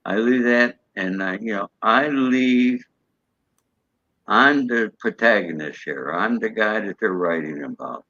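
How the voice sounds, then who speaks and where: Man, interview guest, lowish voice, dry muffled remote audio. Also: measured pace, bright, forceful tone, dry recording. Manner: matter-of-fact, fillers like "you know". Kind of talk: casual interview chat.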